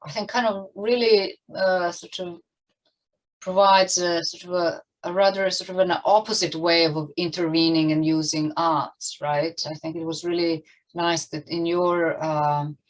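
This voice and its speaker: A woman moderating an online panel discussion, with hesitant, halting phrasing as she frames questions. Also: on the low side, fairly even intonation, low-quality recording.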